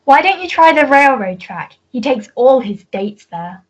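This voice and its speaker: A woman playing a jealous, vengeful jilted sweetheart, theatrical and drawn-out, in a radio melodrama. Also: high voice, sing-song, full character voice, poor audio. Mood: jealous, possessive. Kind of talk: melodramatic radio play acting.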